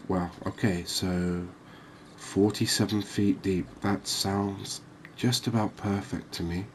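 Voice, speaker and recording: A man doing soft-spoken ASMR game commentary in an unhurried, calming, reassuring manner. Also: low-pitched, a little hiss or hum. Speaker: host of a cozy gaming channel.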